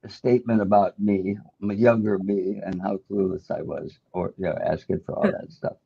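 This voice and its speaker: Middle-aged American man, husky storyteller. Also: low voice, fairly even intonation, normal speed, dull audio. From United States. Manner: self-deprecating storyteller, laughs often. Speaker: host. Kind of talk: casual chat.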